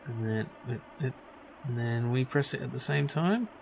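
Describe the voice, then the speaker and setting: A man's voice: medium pitch, moderate pace, gravelly. Wry man musing over a game, noisy background. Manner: musing, wry, thinking aloud. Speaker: video game streamer. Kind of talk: casual let's play commentary.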